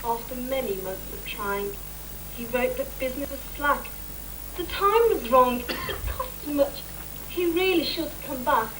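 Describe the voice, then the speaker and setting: Lady panto narrator reading rhymes, bit high pitched, noisy distant recording. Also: lively intonation, unhurried. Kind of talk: scripted rhyming narration on an amateur stage.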